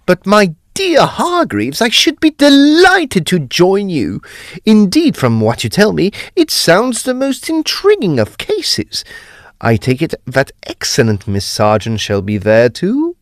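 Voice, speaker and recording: Very animated, formal gentleman played by a man. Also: high-pitched, measured pace, no echo, studio quality, exaggerated voice. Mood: keen. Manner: formal, emphatic, articulate. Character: earnest, animated Edwardian English gentleman telling a ghost mystery. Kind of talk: period audio drama storytelling.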